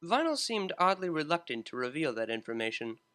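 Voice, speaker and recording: A narrator reading fan fiction with steady storytelling pacing and plenty of lively rise and fall. The delivery stays even and attentive to the prose. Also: mid-range voice, fairly quick. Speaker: audiobook narrator.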